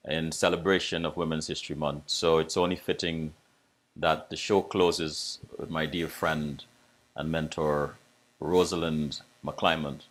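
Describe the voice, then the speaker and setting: A man hosting an interview show, his voice sitting very low. He talks in an effusive, repetitive way, sometimes doubling back on his own words. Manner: warm, effusive, repeats himself. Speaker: host.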